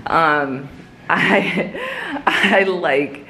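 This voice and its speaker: A chatty woman with a slightly low voice talks casually to the camera at home, rambling and laughing. A faint hiss sits under her voice. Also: very slow, bright, forceful tone, low-quality recording. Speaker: vlogger. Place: home kitchen indoors.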